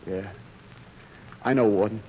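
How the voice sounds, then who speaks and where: A man's voice: lowish voice, measured pace, a little mellow, close to an everyday voice. An actor playing a young, tough, bitter condemned gunman with slangy street talk, in 1930s radio drama style. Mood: brave, resigned. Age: young adult. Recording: scratchy, muffled 1930s radio recording.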